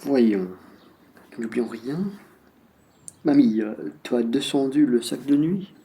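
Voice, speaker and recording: A man's voice: mid-range voice, slow pace, mellow, husky. A man playing a bossy, fussy bourgeois husband who grumbles, in a French radio play. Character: bossy bourgeois husband fussing over provisions.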